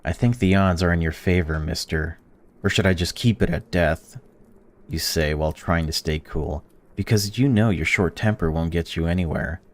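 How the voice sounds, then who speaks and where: A young man in a let's-play, reading a visual novel aloud and reacting to it, swearing freely and swinging between excited outbursts and flat reading. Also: sits low, normal speed. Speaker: gaming YouTuber.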